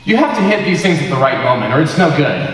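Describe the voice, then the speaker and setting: A high school student actor playing Bill as an eager, awkward young man in a stage comedy, projecting his small talk in an auditorium. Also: sing-song, fast, fairly bright tone, recorded from a distance. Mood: hopeful, chatty. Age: teenager or young adult high school student. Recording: echoey auditorium recorded from afar, noisy.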